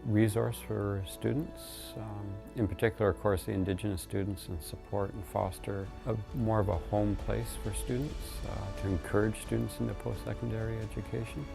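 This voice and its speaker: A man, giving a reflective testimonial in a measured, thoughtful way. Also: fairly low pitch, fairly even intonation, creaky, over music.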